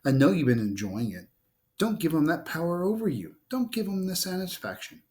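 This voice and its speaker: A man's voice: a little high, big pitch swings, fairly quick, darkish tone, pitched above the performer's own voice. Man reading as a persuasive, exasperated teenage big sister. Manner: insistent, reasoning. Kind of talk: storybook read-aloud dialogue.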